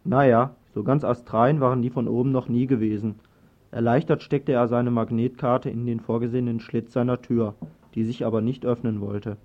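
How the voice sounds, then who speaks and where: A man's voice: medium pitch, fairly even intonation, normal speed. Man narrating in muffled lo-fi radio sound. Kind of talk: scripted dystopian story narration. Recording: dull lo-fi 1980s radio recording.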